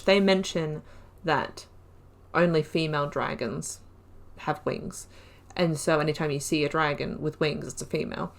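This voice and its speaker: A woman with a slightly low-pitched voice talks through a video game playthrough in a relaxed, chatty way. She thinks out loud with enthusiasm and reads lore entries aloud along the way. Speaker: gaming channel host. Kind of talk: casual game playthrough commentary.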